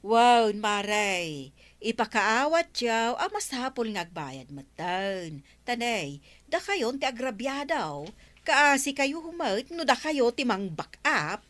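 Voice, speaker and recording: An actress with an Ilocano accent playing a sassy, chiding village woman in a radio comedy drama, expressive in delivery. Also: on the high side, takes it slowly, full character voice. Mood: wry. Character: sassy village woman, teasing and scolding debtors.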